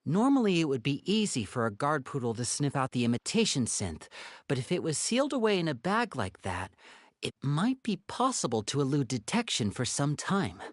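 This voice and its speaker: An actor playing a poised, clever young male investigator in a video game, speaking in a smooth, deliberate, musing way. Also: fairly high pitch, very animated, no echo, clean studio sound. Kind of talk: scripted video game voice acting.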